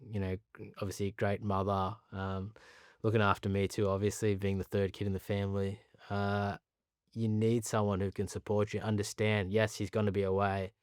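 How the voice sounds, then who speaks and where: A man's voice: fairly low pitch, a little mellow, clear, resonant tone, no room sound, clean studio sound. A man in his thirties with an Australian accent, born in Pakistan, talking candidly as an interview guest, earnest and chatty, sometimes laughing at himself. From Pakistan, raised in Sydney. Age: 30s.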